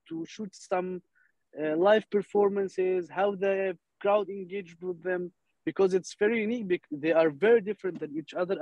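Egyptian man, soft and halting on a call. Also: high-pitched, dry recording, dull audio. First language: Arabic. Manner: halting, restarts words, soft-spoken. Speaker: film director. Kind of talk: video call interview. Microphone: video call.

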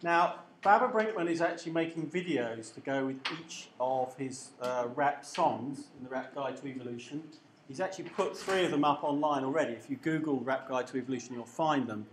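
Guy lecturing, relaxed, bit of noise behind. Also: mid-range voice, a little fast, roomy sound. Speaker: university lecturer. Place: lecture hall.

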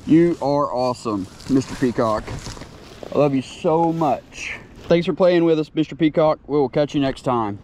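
An excitable young man narrates his own fishing trip beside a lake, chattering to the fish as he fights them. His voice is mellow and warm, caught on a chest-mounted camera outdoors with a faint hiss of wind and ambience behind him. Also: a little high, moderate pace. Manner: excitable, talks to the fish while reeling. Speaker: fishing vlogger. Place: outdoors by a lakeside. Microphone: chest-mounted action camera.

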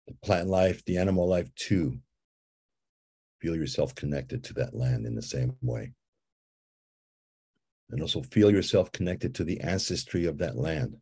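A man from Guatemala with a very deep, husky voice guides a meditation and tells a story. His tone is mellow and unhurried, and he repeats gentle invitations to feel and imagine. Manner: slow, calm and repetitive, with soft repeated invitations. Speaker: ceremony leader guiding a visioning exercise.

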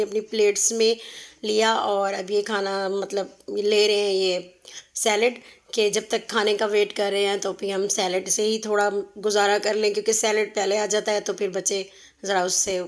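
A woman in her thirties narrating a family vlog, chatty and explaining at length with many fillers, recorded on a phone at an outdoor restaurant at night. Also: medium pitch. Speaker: family vlogger.

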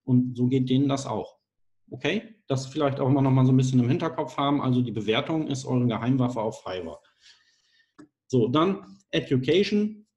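A man's voice: medium pitch, expressive, normal speed, darkish tone, highs cut off. Chatty man walking through a web form. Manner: chatty, self-deprecating, thinks aloud with fillers. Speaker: online course presenter. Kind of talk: casual screen-share tutorial.

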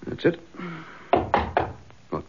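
An actor playing an embattled, indignant British police chief inspector in a radio drama, clipped, forthright and sardonic. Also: male voice, drawn-out words, a little mellow. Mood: tense, urgent. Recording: slightly dull radio drama recording.

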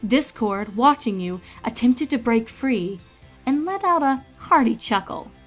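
A woman's voice: fairly high pitch, animated, measured pace, faint music behind. A woman narrator reading a story aloud in a scripted, dramatic storytelling style.

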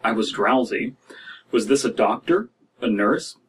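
A man's voice: mid-range voice, expressive, measured pace, breathy edge. Wry man, distant from the mic. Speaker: podcast host reading and reacting to horror stories. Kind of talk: casual commentary and story reading. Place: small dim room indoors.

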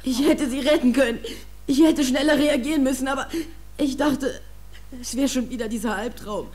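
A man's voice: very high voice, moderate pace, darkish tone. A male actor playing a distraught, defensive teenage boy in a German audio drama, speaking with anguish and agitation. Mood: anguished, guilty. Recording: faint hum and noise, cassette audio drama.

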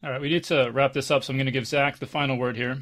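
A man's voice: medium pitch, fairly even intonation, very fast, fairly bright tone. A man hosting a casual discussion in a conversational way. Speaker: host.

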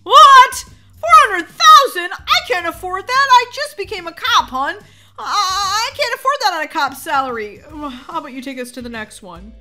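A woman playing an excitable, dramatic young husband and new cop in a game roleplay story. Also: fairly high pitch, brisk, few pauses, faint music behind, natural voice. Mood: alarmed.

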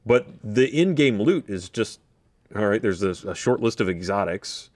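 A man's voice: fairly low pitch, expressive, normal speed, no room sound. A man in his thirties on a gaming podcast panel, talking casually and arguing his opinions into a studio microphone. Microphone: studio microphone on desk.

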